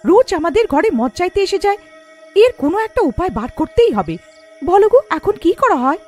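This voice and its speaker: A woman's voice: very high voice, sing-song, normal speed, a little mellow, faint music behind, voice pushed up. A woman playing a concerned, dutiful village wife in a cartoon fairy tale, speaking earnestly and expressively. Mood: worried, exasperated.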